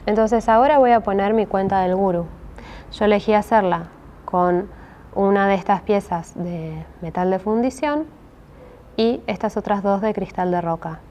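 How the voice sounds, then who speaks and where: A woman's voice: medium pitch, normal speed, mellow, a little hiss or hum. A woman with a Rioplatense Spanish accent teaching a step-by-step craft tutorial, patient and checking in often with her listeners. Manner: patient, with frequent "¿sí?" check-ins and many hesitations.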